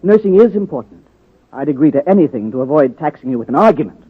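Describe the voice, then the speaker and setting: Radio drama part: blustery American male doctor, protective and old-fashioned, swooping husky delivery, thin vintage broadcast sound. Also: a little high, sing-song, fast. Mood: dismissive, exasperated. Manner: emphatic, blustery. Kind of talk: 1940s radio drama acting. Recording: muffled old radio recording, phone-like band.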